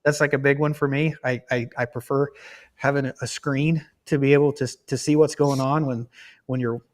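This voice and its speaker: A man's voice: medium pitch, little pitch movement, normal speed. A man chatting on a livestream, frank and explanatory, often restarting his sentences. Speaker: guest on a livestream. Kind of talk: casual livestream chat.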